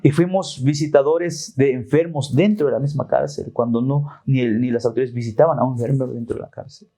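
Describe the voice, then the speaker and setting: A man whose first language is Tzotzil, a former prisoner, speaking in solemn, measured declarations. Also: mid-range voice, dark tone.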